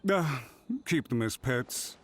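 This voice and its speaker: An actor playing a haughty, scheming clan official in a video game, with pompous, theatrical and formal delivery. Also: male voice, medium pitch, expressive, slow, fairly bright tone, slight background noise. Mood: smug.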